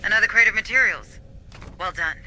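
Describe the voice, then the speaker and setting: Sci-fi game tech adviser: high register, sharp bright delivery, explanatory and matter-of-fact. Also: male voice, a little fast, slight background noise, rough recording. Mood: helpful. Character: male technical guide advising the squad, matter-of-fact. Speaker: technical guide. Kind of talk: scripted sci-fi game dialogue.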